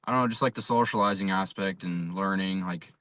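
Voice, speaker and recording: Young American male athlete, unassuming interview answers, very low pitch, dry close recording. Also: fairly quick, sharp tone, dry recording. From South Dakota, USA. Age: young adult. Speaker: college baseball pitcher, interview guest.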